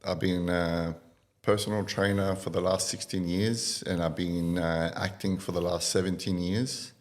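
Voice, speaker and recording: A man from Iraq in his thirties, a guest on a podcast, telling his life story in a relaxed way with many fillers. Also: low voice, unhurried. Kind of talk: casual interview talk.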